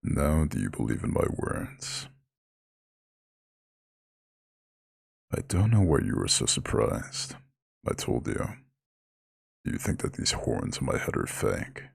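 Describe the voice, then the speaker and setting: A man voicing an ancient, imposing eldritch god who is gently caring and proud, speaking in a slow, soothing, formal growl in an ASMR roleplay. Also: very animated, no echo, clean studio sound, full character voice. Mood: proud, indignant. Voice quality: very deep gravelly husky growl.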